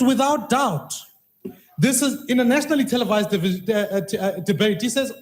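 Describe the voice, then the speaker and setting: Formal politician, echoey chamber. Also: male voice, high voice. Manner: measured, formal, pointed. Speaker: member of parliament. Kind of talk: formal parliamentary questioning.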